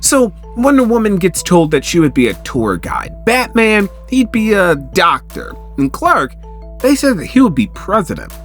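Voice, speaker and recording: A man hosting a recap in casual commentary, telling the story in an animated, conversational way. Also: high voice, moderate pace, faint music behind. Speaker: host of a comic book recap channel.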